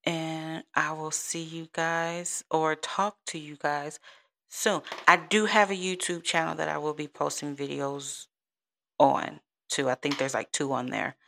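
A woman's voice: deep voice, fairly even intonation, measured pace, vocal fry, bright, forceful tone, a little hiss or hum. A woman, hosting a rambling, giggly solo podcast in a soothing voice. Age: 30s. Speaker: podcast host. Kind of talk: casual solo podcast chat.

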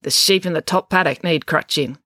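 A woman's voice: sits low, brisk, bright, forceful tone, dry recording, clean studio sound. A woman voicing a grumpy, snappy old woman with a curt, bossy and blunt way of talking. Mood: brusque, blunt. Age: older.

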